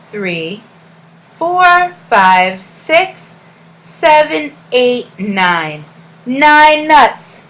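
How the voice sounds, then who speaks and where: High-pitched woman reading slowly to children. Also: rough recording. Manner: sing-song, deliberate, addressing children with questions and praise. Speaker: teacher reading a children's book aloud. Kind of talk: read-aloud storytelling with questions to young children.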